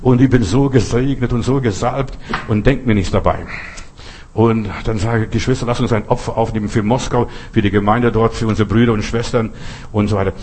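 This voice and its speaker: A man's voice: lowish voice, steady tone, a little fast, darkish tone, a little hiss or hum. A middle-aged man who is not a native German speaker, preaching earnestly with personal stories and repeating phrases for emphasis. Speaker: pastor.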